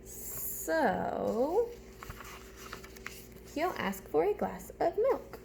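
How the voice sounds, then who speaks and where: A woman with a fairly high voice and clear vocal fry speaks as a teacher to young children. She reads storybook-style and breaks off for simple questions and instructions. There is noticeable background noise on the recording. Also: sing-song, extremely slow, darkish tone. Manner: addresses children directly with simple questions and step-by-step prompts. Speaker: teacher reading aloud to pupils. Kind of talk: storybook read-aloud with chatty asides and instructions for children.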